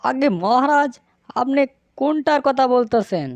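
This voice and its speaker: A woman's voice: high voice, a little slow, no room sound, dull audio. A woman voicing a wily, fawning male fox who sells cattle in a village cartoon. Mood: fawning, evasive. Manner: fawning, sly, evasive. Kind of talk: cartoon dialogue.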